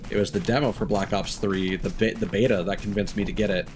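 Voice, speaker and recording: A man's voice: fairly low pitch, steady tone, fast. A man in his thirties chatting casually and bluntly as he livestreams a video game. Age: 30s. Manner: opinionated, blunt, casual swearing. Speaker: game live streamer. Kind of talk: casual livestream chat over gameplay. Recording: music behind the voice. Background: game music.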